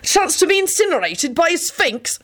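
A woman voicing an anxious, excitable and irritable young man in a story, urgent in manner. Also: fast talker. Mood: desperate. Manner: urgent, high-strung.

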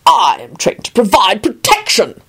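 A woman's voice: mid-range voice, huge pitch swings, normal speed, fairly bright tone, husky, voice pushed up, exaggerated voice. A woman voicing a suspicious, officious male bodyguard in a storybook, harsh, declaiming and supercilious. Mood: haughty.

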